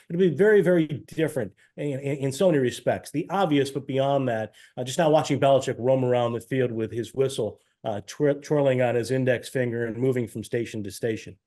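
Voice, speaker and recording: Man, mellow timbre, sports analyst guest, halting delivery, winding detailed answers. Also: medium pitch. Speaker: guest, team radio play-by-play announcer.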